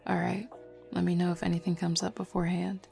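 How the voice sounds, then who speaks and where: Low, creaky-voiced teasing werewolf beta played by a woman. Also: flat delivery, fairly quick, mellow. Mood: calm, explaining. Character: flirty, teasing, self-assured female werewolf pack beta. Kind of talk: roleplay audio drama, intimate casual address to listener. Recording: lo-fi music bed behind voice.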